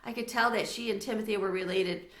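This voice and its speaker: A woman narrating a children's story aloud in an expressive read-aloud style. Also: medium pitch, fast talker, roomy sound.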